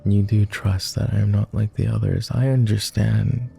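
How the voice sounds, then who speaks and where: A man playing a low, dark-voiced melancholy vampire lord. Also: flat delivery, moderate pace, vocal fry, soft background music. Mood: fond, teasing. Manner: formal, old-fashioned wording, hesitant, tender. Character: clingy, melancholy male vampire lord, tender and lovestruck. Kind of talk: intimate boyfriend roleplay ASMR.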